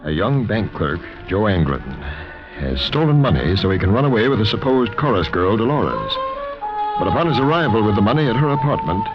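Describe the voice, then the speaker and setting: A male host narrating a 1940s radio mystery from a script. His delivery is lively, over clear music, on a dull old recording. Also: on the low side, brisk.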